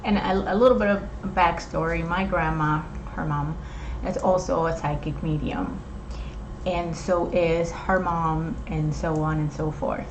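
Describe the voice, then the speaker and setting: A young woman from Puerto Rico, with a Puerto Rican accent and Spanish as her first language, explaining things in a measured way. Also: low voice, steady tone, noisy background. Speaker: host. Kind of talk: casual chat.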